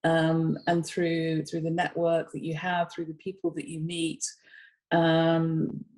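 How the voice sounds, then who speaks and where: A woman's voice: low voice, completely flat delivery, takes it slowly, darkish tone. A woman giving considered advice as a panel guest, thoughtful and hesitant as she searches for her words.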